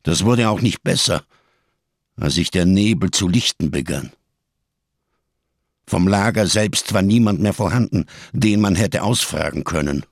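An actor in a radio crime drama playing a giant, scarred, world-weary survivor who speaks in grand, bitterly ironic phrases. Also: male voice, deep voice, lively intonation, no echo, clean studio sound, heavily acted. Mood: sardonic, grave. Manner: grandiose, bitterly ironic, slow rhetorical delivery.

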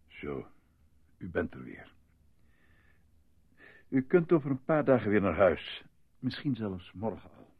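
Gravelly, solemn doctor, thin sound. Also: male voice, fairly low pitch, huge pitch swings, dry recording, heavily acted. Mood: calm, clinical. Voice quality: gravelly, husky. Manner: measured, formal. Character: solemn, controlled hospital doctor, coolly reassuring. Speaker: actor. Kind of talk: Dutch radio play acting. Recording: thin, phone-like, dry old radio recording.

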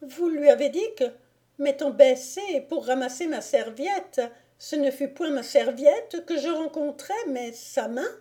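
A woman's voice: sits high, lively intonation, a little slow, mellow, voice pushed up. A woman voicing a coy married lady who plays innocent and wronged in an affected manner, in a storybook reading. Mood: feigned indignation.

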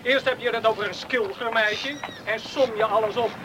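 A man's voice: sits high, steady tone, moderate pace, close to an everyday voice. An actor playing a young male islander, a curious, practical and amused friend, in a Dutch radio play. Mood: teasing. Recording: noisy old radio play recording.

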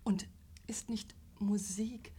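A woman's voice: medium pitch, fairly even intonation, slow pace, mellow, slightly rough. An actress playing a flustered, over-earnest middle-aged mother in a radio play, trying hard to sound tolerant and stumbling as she goes. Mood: anxious, pleading.

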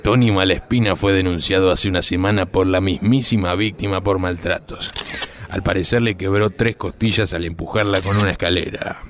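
A man playing a deep-voiced bumbling police officer. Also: steady tone, moderate pace, a little hiss or hum, close to an everyday voice. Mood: briefing. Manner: matter-of-fact, hesitant. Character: bumbling male police officer giving briefings.

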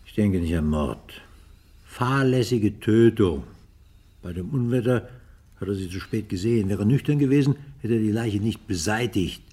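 A man's voice: on the low side, lively intonation, normal speed, warm tone, husky. An actor playing a stern, insistent police detective in a German radio crime drama, arguing his case with emphasis.

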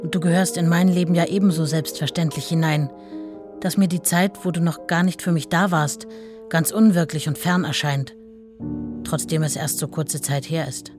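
Radio play role: caring, earnest wife played by an actress, lowish female voice with prominent music underneath. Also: fairly even intonation, moderate pace, lightly acted. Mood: adoring. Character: devoted, caring wife answering her husband's letters. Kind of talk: radio play letter reading.

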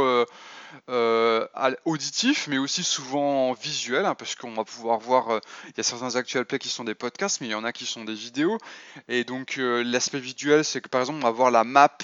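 A man's voice: mid-range voice, a bit bright, clear, resonant tone, dry recording. Hesitant man with a dry, dull sound. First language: French. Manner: hesitant, many fillers and restarts. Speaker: podcast host. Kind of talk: casual spoken essay on role-playing.

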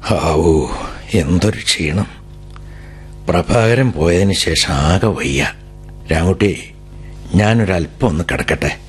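A man's voice: deep voice, slow pace, long pauses. An actor playing a tired older father who runs a newspaper, sighing and pressing his daughter. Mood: weary, pressing. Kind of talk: radio drama acting. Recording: vintage radio broadcast with music.

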